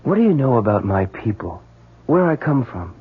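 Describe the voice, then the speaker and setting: Tinny vintage radio recording of a bitter, defensive son in a radio drama. His voice is a little husky and swings widely in pitch, with a sarcastic, challenging edge. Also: sing-song, moderate pace. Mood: guarded. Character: embittered, defensive adopted son, amateur scientist breeding frogs. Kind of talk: 1970s radio drama acting. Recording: tinny, narrow-band old radio broadcast.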